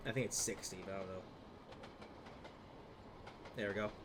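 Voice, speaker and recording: A man's voice: on the low side, fairly even intonation, moderate pace, background noise. A young man with a slightly stuffed-up voice from a cold, casually commenting on his gameplay with many fillers and trailing asides. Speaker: gaming video host.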